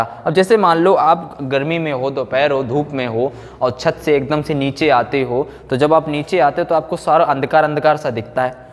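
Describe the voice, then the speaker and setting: A man giving a classroom lecture, repeating phrases for note-taking and answering his own questions. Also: fairly high pitch. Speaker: physics teacher. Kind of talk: classroom lecture, explaining and dictating notes. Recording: echoey room with long reverb.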